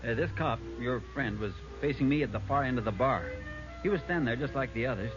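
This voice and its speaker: An actor playing a plainspoken, earnest bar worker giving a witness account in a 1950s radio drama. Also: male voice, mid-range voice, animated, quick pace, music in the background, natural voice. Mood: matter-of-fact. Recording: dull, noisy old radio recording.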